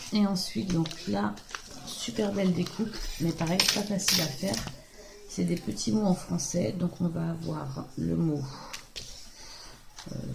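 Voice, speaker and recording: A woman hoarse from a cold talks in a loose, rambling way, full of hesitations. Her voice sits fairly low and has a gravelly rasp. The home recording has a clear layer of background noise. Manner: chatty, rambling, hesitant with many fillers. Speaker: craft video host. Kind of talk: casual show-and-tell haul.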